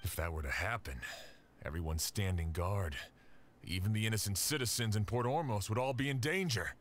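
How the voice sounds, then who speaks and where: An actor playing a steady lawman who commands guards, calm and decisive. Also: lowish voice, brisk, a little hiss or hum. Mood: firm.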